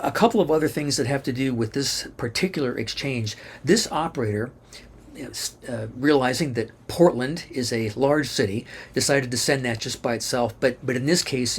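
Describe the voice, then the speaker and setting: A man giving a methodical instructional explanation, spelling out abbreviations letter by letter in a slightly rough voice. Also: medium pitch, slight background noise.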